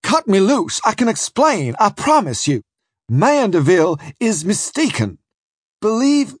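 Man acting a high-voiced, pleading gentleman. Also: big pitch swings, unhurried, breathy edge, no room sound, clean studio sound, heavily acted. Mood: desperate pleading. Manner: urgent, polite pleading. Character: terrified, pleading gentleman, cowardly and well-spoken.

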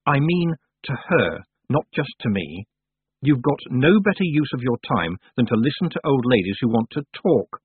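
Firm, calm young witch girl, man reading, husky, lively pitch, tinny phone-like sound. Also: moderate pace, no room sound.

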